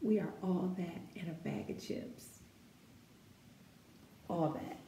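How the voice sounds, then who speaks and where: A woman reading a picture book aloud to children, expressive and deliberate, with emphatic phrasing and encouraging asides. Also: on the low side. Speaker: children's story-time reader. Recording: echoey room with faint background noise.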